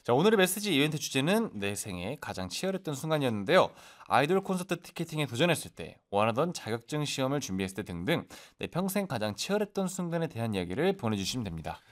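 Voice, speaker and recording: A woman's voice: a bass voice, very animated, fast, few pauses. A woman co-hosting a radio show, giggling and bantering, slipping into English now and then. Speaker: radio co-host. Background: background music.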